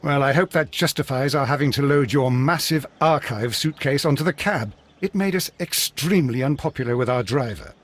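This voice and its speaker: An actor playing a loyal, earnest British gentleman, a detective's companion in a video game, with a husky voice and a polite, slightly put-upon manner. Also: mid-range voice, fairly quick, hardly any pauses, natural voice. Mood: mildly exasperated. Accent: British English. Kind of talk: video game character acting.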